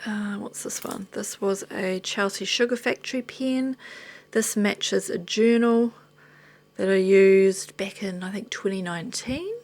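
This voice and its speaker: A woman with a New Zealand accent, tired and slightly hoarse, chatting casually and rambling off topic as she shows things to the camera. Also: mid-range voice, a little slow, a little mellow. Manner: rambling, chatty, self-deprecating, drifting off topic. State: tired and losing her voice. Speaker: vlogger. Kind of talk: casual chat showing items to camera.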